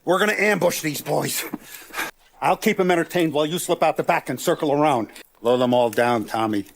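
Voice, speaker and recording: A hardened mob boss from a video game, commanding, calculating, streetwise, dry close sound. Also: male voice, a little high, gravelly, no echo. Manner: deliberate, authoritative, streetwise gangster talk. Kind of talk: video game character acting.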